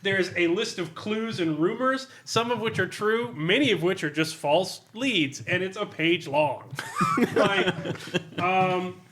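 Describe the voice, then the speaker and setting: A man reviewing a role-playing book casually among friends in an animated, amused, sweary and sardonic way. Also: high voice, takes it slowly, a little hiss or hum. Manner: animated, amused, often laughing while talking; profane, sardonic.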